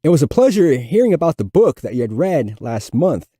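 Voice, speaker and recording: A man's voice: on the high side, big pitch swings, a little fast, warm tone, slight background noise, pitched above the performer's own voice. A man playing an encouraging male fourth-grade teacher who addresses the class supportively in a story. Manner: supportive, praising, formal classroom speech.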